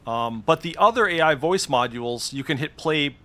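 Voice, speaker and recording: A man's voice: a little high, lively intonation, normal speed, a bit bright. A man giving casual, informal screen-share commentary as a game streamer, swearing freely. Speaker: streamer demonstrating game add-ons.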